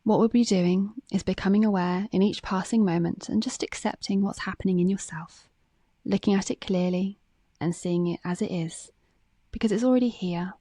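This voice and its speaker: A woman reading a guided meditation script in a calm, gentle, measured way, with phrases that soften at the ends. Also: medium pitch, no room sound.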